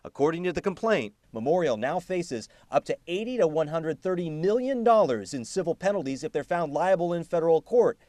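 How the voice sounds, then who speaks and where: A male investigative reporter narrates a scripted TV news story in a high-pitched voice. His phrasing is emphatic and deliberate. The sound is dry, with no room echo. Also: lively intonation, mellow.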